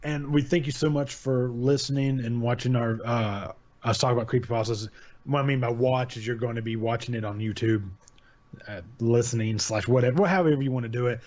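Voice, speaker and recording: A man's voice: mid-range voice, fairly even intonation, fairly quick, darkish tone, highs cut off. A man hosting a casual chat about horror stories, rambling, repeating his words and laughing often. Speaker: channel host.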